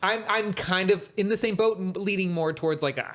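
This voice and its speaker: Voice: mid-range voice, fairly quick, a bit bright. A podcast panelist talking in casual chat.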